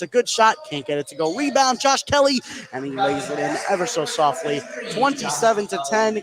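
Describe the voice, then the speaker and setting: Higher-pitched man calling basketball over noise. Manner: narrates action in clipped running phrases. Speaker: play-by-play basketball commentator. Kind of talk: live radio sports commentary. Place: noisy indoor basketball gym. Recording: live broadcast with clear background noise.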